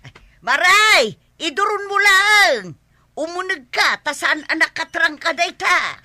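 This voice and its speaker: A woman playing an excitable village bystander raising warnings in a comedy radio drama, urgent, with an Ilocano accent. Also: extremely high pitch, slow, no room sound, full character voice. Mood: bossy.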